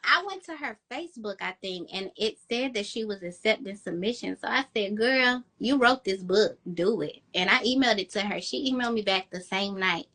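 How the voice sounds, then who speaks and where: A woman romance author in an interview, chatty and enthusiastic, with a high-pitched voice. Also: lively intonation, normal speed, hardly any pauses, bright, forceful tone. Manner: chatty, enthusiastic, quotes herself with "girl". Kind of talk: casual interview chat.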